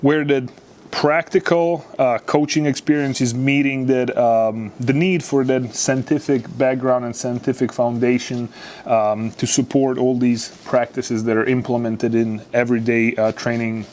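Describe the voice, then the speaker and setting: A man's voice: mid-range voice, little pitch movement, unhurried, darkish tone. A young man with a Serbian accent, a guest talking in a casual interview in a studio, with lots of fillers and easy laughter. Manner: chatty, many fillers, laughs easily. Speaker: basketball researcher, guest.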